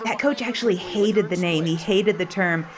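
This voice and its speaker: A woman giving casual, playful sports commentary on a game, expressive and quick to act out what players might be thinking. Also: lowish voice. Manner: playful, expressive, voices imagined thoughts of players. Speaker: roller derby commentator and former player. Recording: crowd noise behind the voice. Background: roller derby game crowd.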